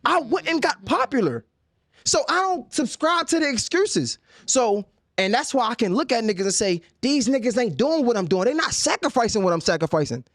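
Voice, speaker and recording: A man's voice: extremely high pitch, big pitch swings, moderate pace, a bit bright, no echo, clean studio sound. A man in his thirties from Baltimore, talking in a heated, emphatic way on a podcast and repeating words as he presses his points. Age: 30s. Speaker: podcast guest, journalist. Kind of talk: heated casual conversation.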